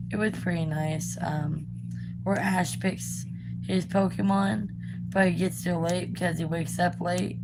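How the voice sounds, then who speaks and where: A young woman, still raspy from being sick, chatting casually while she draws, rambling with lots of ums and likes. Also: lowish voice, rough recording. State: raspy from recent illness. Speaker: art vlogger. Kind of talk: casual chat while drawing.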